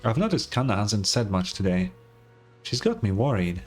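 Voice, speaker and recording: A man playing a calm, reassuring young man in a game, easygoing and gently teasing in manner. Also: lowish voice, moderate pace, faint music behind. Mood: concerned.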